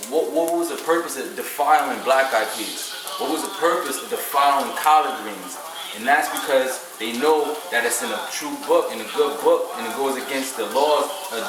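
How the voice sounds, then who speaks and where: Man, host, rambling and preachy, swears freely, a bit off the mic in an echoey room. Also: medium pitch. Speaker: vegan podcast host. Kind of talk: casual rambling talk. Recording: roomy echo, mic at a distance.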